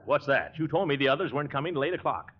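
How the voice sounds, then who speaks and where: Actor as a blustery, excitable, pompous man. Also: mid-range voice, very fast, very noisy, natural voice. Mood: indignant. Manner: blustery, chuckling, easily riled. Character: blustering, exasperated, pompous middle-aged man. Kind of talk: 1940s radio comedy acting. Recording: dull 1940s radio recording.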